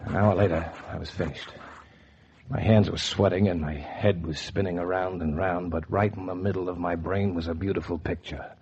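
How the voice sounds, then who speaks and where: An actor playing a hard-boiled, greedy, excitable man in a 1940s radio noir drama. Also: deep voice, normal speed, crowd noise. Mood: tense narration. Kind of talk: 1940s radio noir acting and first-person narration. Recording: muffled, noisy vintage 1940s radio recording.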